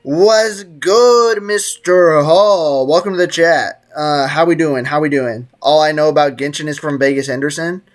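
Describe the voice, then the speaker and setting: A man with a fairly high voice commentates casually on a game stream. He talks in loose slang, teasing and joking as he answers chat, with faint music behind him. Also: unhurried, few pauses. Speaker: live streamer.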